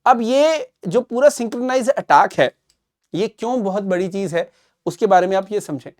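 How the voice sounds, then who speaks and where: A man's voice: high-pitched, moderate pace. An Indian man presenting current affairs like a teacher, emphatic and didactic, slipping between Hindi and English phrases. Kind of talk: explanatory news commentary for students.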